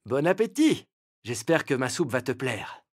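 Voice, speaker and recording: An actor voicing a friendly, greedy, thoughtless male fox in a children's animated fable, chummy and eager. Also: mid-range voice, a little slow, a little hiss or hum. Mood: cheerful, oblivious.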